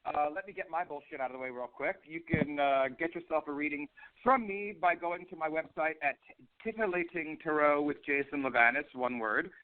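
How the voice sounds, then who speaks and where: A man's voice: a little high, a little fast. A man with a head cold hosts a radio show in casual, profane, joking chat; he sounds snotty. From US East Coast. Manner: chatty, profane, jokey. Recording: phone-like lo-fi audio.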